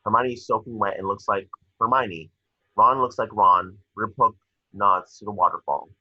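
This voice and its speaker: A man's voice: on the low side, normal speed, husky, no room sound, dull audio. A man reading stage directions as narrator for a screenplay table read.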